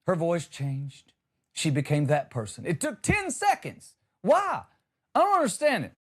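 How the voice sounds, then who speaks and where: Animated, high-pitched man on a comic rant. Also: measured pace. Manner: exasperated, emphatic, sarcastic. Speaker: comedy podcast host. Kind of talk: comedic monologue rant.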